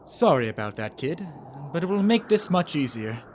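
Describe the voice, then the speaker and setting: A man voicing a confident alien soldier and aide in an animation, speaking in a measured, earnest way. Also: on the high side, big pitch swings, heavy background noise. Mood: gentle, solemn. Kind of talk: amateur animation voice acting.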